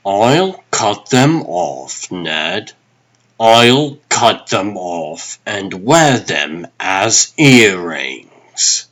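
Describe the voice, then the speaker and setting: A cruel comic-book villain, acted out by a man, drawing out every threat very slowly. The voice is rough and husky, with a hard, sadistic edge. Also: fairly low pitch, big pitch swings, a bit bright, pitched below the performer's own voice, exaggerated voice. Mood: cold menace. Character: menacing interrogating villain, slow and sadistic, comic-book dub.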